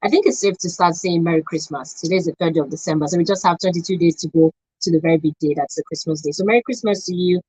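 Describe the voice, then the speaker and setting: Low, husky Nigerian woman radio host. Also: fast, few pauses, a little mellow, no room sound, poor audio. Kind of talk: radio show hosting and sign-off.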